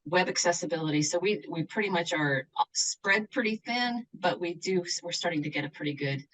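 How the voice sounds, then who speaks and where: A woman's voice: deep voice, rough recording. A woman from the Seattle area who works as a university accessibility coordinator, answering interview questions in an earnest, reflective way with some fillers.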